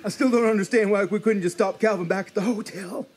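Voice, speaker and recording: An actor playing a gullible, grumbling small-town sidekick with a husky, folksy delivery in a comedy radio play. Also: male voice, sits high, steady tone, quick pace, darkish tone. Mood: grumbling, anxious. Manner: folksy, complaining. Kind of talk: live comedy radio theatre acting.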